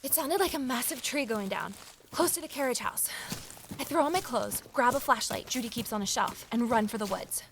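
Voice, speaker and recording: A woman's voice: on the high side, a little fast, slight background noise, lightly acted. A woman playing a wry, uneasy young journalist who narrates a mystery audio drama in a confiding way. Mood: tense, alarmed. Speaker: lead character and narrator.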